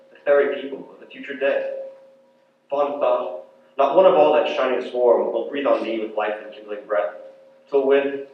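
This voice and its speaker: A young man in his teens giving a dramatic, declamatory poetry recitation with emphatic, theatrical phrasing. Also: medium pitch. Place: large echoey indoor hall at a poetry competition. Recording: very echoey hall, distant dull mic, faint noise.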